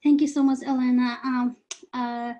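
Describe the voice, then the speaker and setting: A woman from Nepal, presenting earnestly and haltingly over a video call. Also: sits high, little pitch movement, warm tone, highs cut off. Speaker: volunteer presenter. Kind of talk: informal online presentation.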